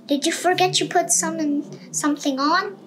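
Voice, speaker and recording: A woman's voice: very high-pitched, steady tone, normal speed, background noise, pitched above the performer's own voice. A woman voicing a storybook mother frog who calls out to remind her forgetful son. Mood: calling out.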